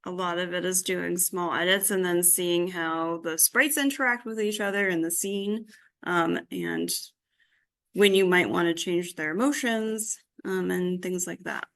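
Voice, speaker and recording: A woman teaching a software tutorial informally, thinking aloud as she works through each step. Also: on the low side, a little slow. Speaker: workshop instructor.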